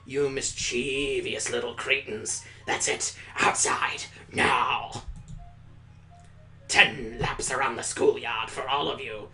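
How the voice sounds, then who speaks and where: A man's voice: medium pitch, steady tone, slow pace, sharp tone, pitched below the performer's own voice, exaggerated voice. A man voicing a bleary, easily exasperated male schoolteacher and father in a visual novel game, with music behind him.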